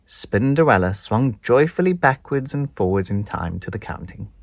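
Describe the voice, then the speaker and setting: A storybook narrator reading aloud for young children in an expressive, scripted storytelling style. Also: mid-range voice, normal speed, vocal fry, no echo.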